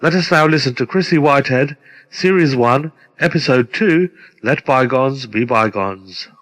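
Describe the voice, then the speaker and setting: A man with a mid-range voice introduces his own radio play. His delivery is scripted, measured and formal, and it rings with long reverb. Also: medium pitch, animated, a bit bright. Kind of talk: scripted narration. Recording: long reverberant hall sound.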